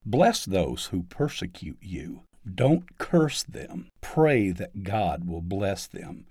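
A man reading a scripted devotional article aloud in a measured, earnest way, with emphatic phrasing. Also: deep voice, big pitch swings, darkish tone, breathy edge, dry recording, studio quality. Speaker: article narrator.

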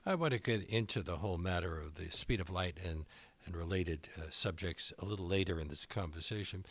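A man hosting a radio interview, asking measured questions with small hesitations. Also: low voice, no room sound. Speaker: radio host.

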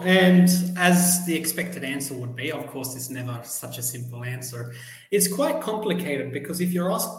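A young man with an Australian accent answers interview questions in a measured, explanatory way, often pausing on um and uh. His pitch is an everyday middle. The recording is echoey, as if from a bare room. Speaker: guest, journalist and PhD student.

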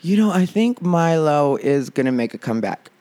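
A man's voice: fairly high pitch, normal speed. Nasal, chatty man podcast host. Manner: chatty, laughs often, animated retelling. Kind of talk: casual chat.

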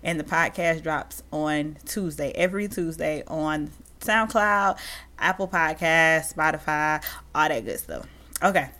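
A woman's voice: deep voice, unhurried. Chatty thirty-year-old woman podcast host. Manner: animated and chatty, addresses listeners as y'all. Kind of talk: casual solo podcast chat.

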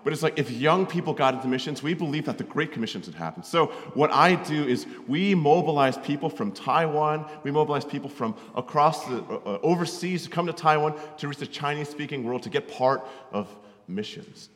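A man's voice: a little high, lively intonation, brisk, few pauses, fairly bright tone. A man in his thirties with an American accent gives an informal, conversational sermon as a missionary, often checking in with short tag questions, in a hall with long reverb. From Connecticut, United States. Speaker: missionary preacher, guest speaker. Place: large hall, speaking to an audience.

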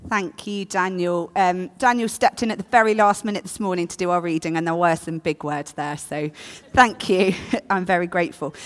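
A woman with a croaky voice giving a chatty, self-deprecating all-age church talk as preacher. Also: mid-range voice. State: croaky voice from illness. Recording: long church reverb.

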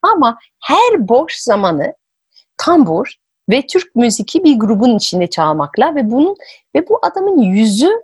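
A woman host speaks in a high register with very animated intonation. Her voice is somewhat husky, and she tells stories conversationally, as if chatting with her guest. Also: measured pace, no room sound, clean studio sound. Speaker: podcast host. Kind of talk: conversational storytelling.

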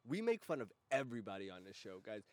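A man's voice: fairly low pitch, very animated, fast talker, no echo. An American man hosting a casual comedy podcast, chatting in a slangy, profane, irreverent way. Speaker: podcast host.

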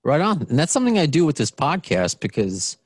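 A man's voice: fairly high pitch, wildly swooping pitch, a little fast, husky, no room sound. A man in his late thirties hosting a podcast interview, chatty, laughing often and restarting sentences. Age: 30s. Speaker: podcast host. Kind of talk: casual interview.

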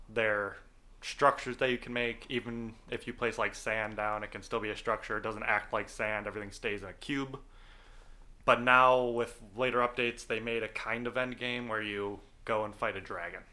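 Bright-voiced, laid-back young man. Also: on the low side, fairly even intonation, moderate pace. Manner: laid-back, brief agreeing replies. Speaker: podcast co-host. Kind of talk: casual chat.

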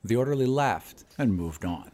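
A man reading fiction as a podcast narrator, in an expressive, scripted storytelling style. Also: mid-range voice, moderate pace.

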